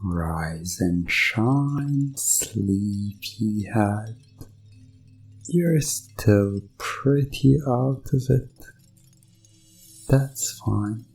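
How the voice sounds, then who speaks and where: Man playing a very slow-spoken evil hypnotist doctor. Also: on the low side, creaky, darkish tone, full character voice, rough recording. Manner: slow, soothing, coaxing, mock-reassuring, deliberate pauses. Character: sinister evil doctor, smoothly condescending male hypnotist. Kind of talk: hypnosis ASMR roleplay.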